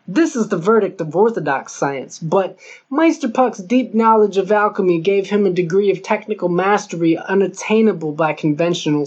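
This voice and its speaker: Male audiobook narrator for scripted fiction, with a muffled, lo-fi recording. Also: sits high. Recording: dull lo-fi recording.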